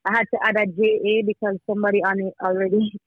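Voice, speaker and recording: A woman's voice: mid-range voice, level tone, quick pace. Rambling young woman, dull audio. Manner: rambling, filler-heavy, many restarts. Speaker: musician guest. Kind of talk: casual podcast interview.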